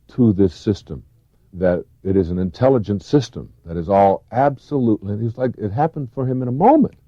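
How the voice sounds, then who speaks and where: Motivational speaker, male, low-pitched, earnest and reflective, emphasis rising and falling, dull dry tape recording. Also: no room sound. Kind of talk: reflective self-help lecture.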